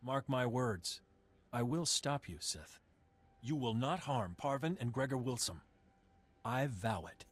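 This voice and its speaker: An actor voicing a defiant, noble commander in a video game, resolute and declamatory. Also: male voice, medium pitch, lively intonation, measured pace, husky. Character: defiant, noble male commander sworn to protect others.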